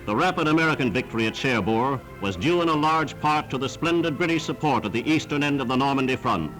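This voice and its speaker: A man's voice: medium pitch, expressive, fast talker, fairly bright tone, low-quality recording. A man narrating a scripted 1940s wartime newsreel in a formal, emphatic, declamatory manner, on a vintage soundtrack. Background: orchestral newsreel music.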